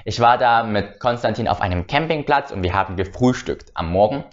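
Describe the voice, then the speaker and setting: A man's voice: fairly low pitch, lively intonation, brisk. A young man from Germany hosting his own channel, telling a personal story casually and hesitantly, with frequent filler sounds and restarts. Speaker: channel host. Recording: slightly dull lo-fi sound.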